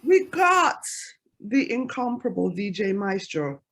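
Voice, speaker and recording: A woman radio host opening the show with blessings, warm greetings and shout-outs to listeners. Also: medium pitch, very animated, a little slow. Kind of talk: lively show introduction.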